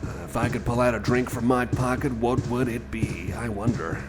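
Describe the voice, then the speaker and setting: A man's voice: mid-range voice, fairly even intonation, normal speed, over loud music, close to an everyday voice. A man playing a friendly, anxious cyborg companion in an ASMR roleplay, rambling hesitantly and repeating himself as he chats to the listener. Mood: chatty, musing.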